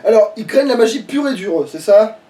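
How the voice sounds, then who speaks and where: High voiced guy playing a game, swears a lot, casual hesitant chat, kinda far from the mic. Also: lively intonation, a little fast, warm tone. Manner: informal, sweary, hesitant self-questioning. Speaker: let's play player commentating. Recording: distant from the microphone.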